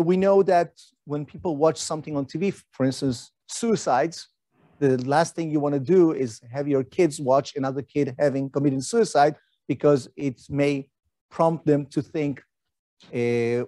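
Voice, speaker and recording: A man's voice: on the high side, a little slow, warm tone, no echo. A middle-aged man, giving an academic lecture in a conversational way with rhetorical questions and fillers. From Argentina.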